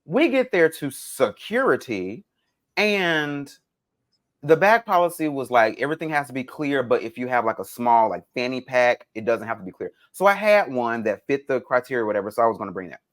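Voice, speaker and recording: A man tells stories in a loose, conversational podcast style. His pitch is mid-range and moves around a great deal. He retells moments dramatically, slipping into acted-out quotes. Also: sing-song, brisk. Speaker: podcast co-host. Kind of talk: casual conversational storytelling.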